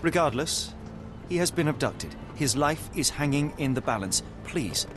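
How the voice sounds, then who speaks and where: An actor playing a composed, analytical British detective in a video game, speaking in a measured, formal way. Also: male voice, a little high, expressive, music in the background, lightly acted. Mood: cool, insistent. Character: composed, analytical young gentleman detective, coolly persuasive.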